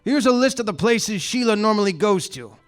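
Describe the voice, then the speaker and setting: An actor playing a loyal, laid-back island sidekick who encourages the detective, in a live comedy radio play. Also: male voice, high voice, animated, brisk, faint music behind.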